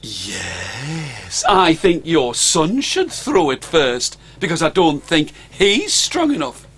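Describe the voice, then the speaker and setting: A man's voice: on the high side, very animated, slow pace, fairly bright tone, exaggerated voice. A man voicing a crafty, small hero who bluffs and boasts to fool dragons. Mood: sly.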